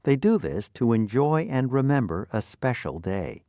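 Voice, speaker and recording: A man's voice: mid-range voice, very animated, normal speed, warm tone, dry recording, clean studio sound. A man narrating a scripted vocabulary lesson, reading out definitions and example sentences.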